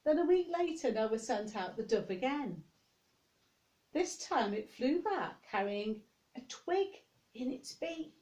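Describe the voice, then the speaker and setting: Casting: female children's storyteller, higher-pitched, very unhurried, expressive, drawn-out emphasis, chatty asides to little listeners. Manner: expressive, addressing young listeners directly. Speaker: minister reading a story to young children.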